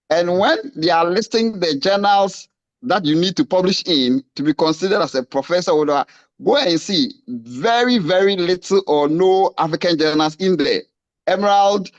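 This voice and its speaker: A man's voice: sits high, muffled recording. A man from Ghana, an academic and novelist, asking an impassioned question at a panel, firing off rhetorical questions with rising emphasis. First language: a Ghanaian language.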